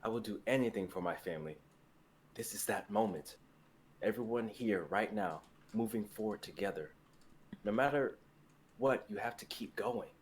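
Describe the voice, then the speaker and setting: Man playing a low-voiced, earnest fantasy hero. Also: normal speed, a little hiss or hum, lightly acted. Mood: tender resolve. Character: earnest heroic young man, resolute, tender, self-sacrificing. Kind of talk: dramatic reading of a fantasy script.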